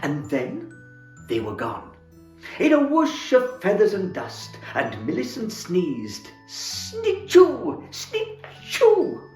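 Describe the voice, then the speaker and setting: A man playing Santa as storyteller, reading a children's book aloud in a theatrical, expressive way. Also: fairly high pitch, slow. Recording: roomy echo, faint music.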